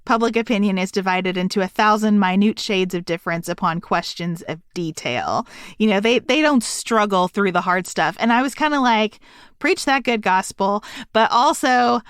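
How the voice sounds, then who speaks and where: A woman's voice: medium pitch, lively intonation, normal speed, clean tone, dry recording, studio quality. A woman co-hosting a podcast, discussing a book casually in a thoughtful, articulate way. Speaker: podcast co-host.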